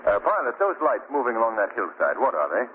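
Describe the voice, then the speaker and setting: An actor playing a confident, reassuring and inquisitive gentleman traveler, brisk and polished in 1940s radio style. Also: medium pitch, wildly swooping pitch. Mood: insistent. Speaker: actor in a radio horror play. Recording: tinny, noisy old radio recording.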